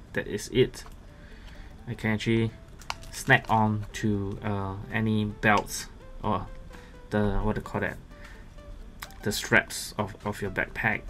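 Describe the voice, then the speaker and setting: A man gives casual, halting unboxing commentary as a gadget reviewer. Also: on the low side. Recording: music playing behind the voice.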